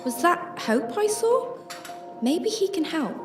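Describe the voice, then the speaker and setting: An actress playing an earnest, vulnerable young woman in a radio drama, speaking and narrating with halting emotion over the loss in her life. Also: very high voice, sing-song, music in the background, exaggerated voice. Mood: hushed, hopeful. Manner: halting, emotional delivery with broken phrases. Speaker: lead actor and first-person narrator.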